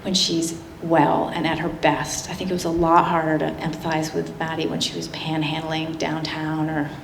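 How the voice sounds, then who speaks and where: A woman giving a public slide presentation in a conversational, halting way with frequent asides. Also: sits low, monotone, creaky. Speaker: guest speaker giving a public talk. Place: indoor public talk venue. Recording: roomy, echoing hall with noticeable noise.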